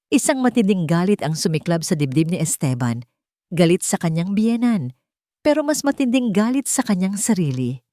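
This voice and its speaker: Low-voiced woman narrating a dramatic story. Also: darkish tone, no echo, studio quality.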